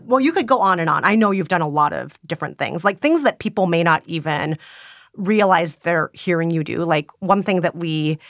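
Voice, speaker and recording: A woman's voice: fairly low pitch, animated, moderate pace, no room sound. Upbeat woman hosting a podcast. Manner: upbeat, leads the talk with questions and explanations. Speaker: podcast host. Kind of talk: casual chat.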